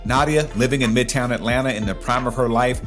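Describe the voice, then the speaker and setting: Male podcast host, medium-pitched voice. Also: quick pace, fairly bright tone, faint music behind. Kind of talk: podcast intro and interview chat.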